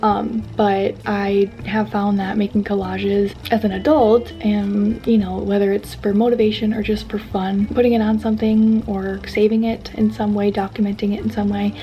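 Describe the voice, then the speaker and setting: A young woman in her twenties chatting casually in a vlog, hoarse as she is losing her voice, soft-spoken and full of "like" fillers. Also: medium pitch, little pitch movement, normal speed, hardly any pauses, highs cut off, soft background music. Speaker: vlogger and teacher.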